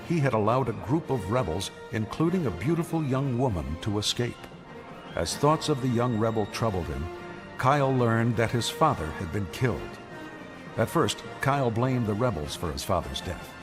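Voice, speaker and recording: A man giving dramatic scripted narration for a space saga, solemn, measured and portentous. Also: on the low side, lively intonation. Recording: music under the voice.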